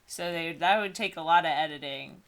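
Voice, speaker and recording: A woman's voice: low-pitched, a little fast, a loud crowd. A young woman in a casual read-aloud, giggly and often breaking off to add mocking comments. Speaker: co-host reading books aloud.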